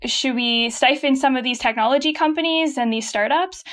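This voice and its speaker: A young woman with a Canadian accent answers interview questions in an upbeat, chatty way, laughing easily and filling gaps with a "yeah". Her voice sits fairly high for a woman. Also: normal speed. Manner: upbeat, laughs often, many yeahs and restarts. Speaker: guest from a carbon tech company. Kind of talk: conversational interview.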